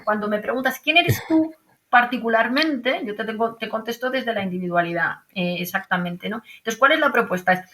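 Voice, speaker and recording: A middle-aged woman with a Madrid accent explains her ideas over a video call. Her voice is bright and sits a little high. She thinks out loud, restarts her sentences and often ends a point with a questioning tag. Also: brisk. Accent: Madrid Spanish. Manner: thoughtful, many fillers and restarts, rhetorical tag questions. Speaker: archaeologist guest. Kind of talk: conversational interview.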